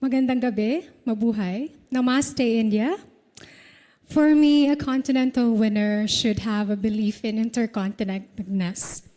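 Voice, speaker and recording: A woman from the Philippines gives a formal prepared answer. Her voice is fairly high with a darker, mellow tone. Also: steady tone, measured pace. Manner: formal, greets in several languages. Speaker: pageant contestant.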